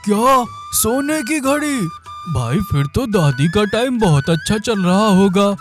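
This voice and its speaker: A man voicing a naive, high-voiced sidekick thief. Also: sing-song, measured pace. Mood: silly. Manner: silly, eager, whiny. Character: naive, food-loving young sidekick thief. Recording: faint background music.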